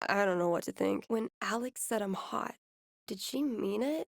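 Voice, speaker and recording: A woman's voice: mid-range voice, moderate pace, creaky, no echo, clean studio sound, lightly acted. A woman voicing a wry, geeky young woman in a video game, with a casual, sardonic and playful manner. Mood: lovestruck, uncertain. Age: young adult. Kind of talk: naturalistic game voice acting.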